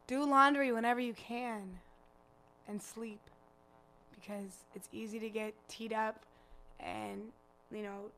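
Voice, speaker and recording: Raspy-voiced young woman, bright and casual. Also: medium pitch, a little hiss or hum, low-quality recording. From Chicago. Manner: loose, laughing, frequent "like" fillers, profane. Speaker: guest musician. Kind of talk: casual interview chat.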